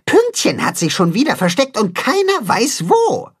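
A man voicing a small male mole with a tender, very high voice in a children's audiobook. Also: huge pitch swings, normal speed, breathy edge, exaggerated voice. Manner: zarte Stimme. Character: kleiner männlicher Maulwurf, zart und bestimmt.

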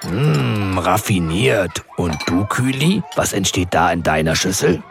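Gravelly, hearty kindergarten boss. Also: male voice, deep voice, animated, unhurried, soft background music, heavily acted. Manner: hearty, exclaims "Donnerwetter". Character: jolly male kindergarten head, middle-aged. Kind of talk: children's radio comedy.

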